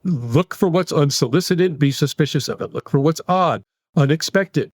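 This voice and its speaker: A man in his sixties with a New York accent talks in long, animated run-on sentences as a podcast interview guest. His voice sits fairly high for a man. The recording is dry and close. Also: normal speed, breathy edge, dry recording. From United States. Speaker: guest psychologist.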